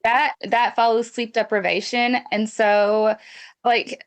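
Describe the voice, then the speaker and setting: A woman's voice: on the high side, flat delivery, takes it slowly, clean tone. A woman from Mississippi with a Southern accent, a reality TV guest talking candidly and conversationally in an interview, with frequent restarts. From Mississippi, USA. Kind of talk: casual interview chat.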